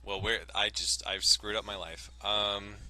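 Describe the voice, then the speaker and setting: Bright, forceful low-voiced man gaming. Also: level tone, unhurried, no echo. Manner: joking, frequently swearing. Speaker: let's play host. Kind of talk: casual gaming commentary with read-aloud narration.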